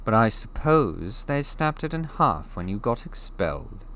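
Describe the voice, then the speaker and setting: A man voicing an eerie old male wandmaker who speaks softly and deliberately. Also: on the low side, sing-song, slight background noise, voice pushed up, full character voice. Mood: musing. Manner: soft, deliberate, drawn-out delivery.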